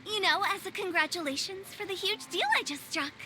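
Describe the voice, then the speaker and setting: A woman's voice: very high voice, brisk, pushed and bright, slight background noise. A woman playing a playful, sly young female funeral director in a video game, teasing and chirpy. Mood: cheerful, joking. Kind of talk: English video game voice acting.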